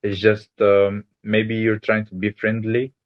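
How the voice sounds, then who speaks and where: A man's voice: fairly low pitch, completely flat delivery, a little slow. A man from Egypt, a native Arabic speaker with Malaysian-influenced English, answering interview questions casually. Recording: dull lo-fi sound.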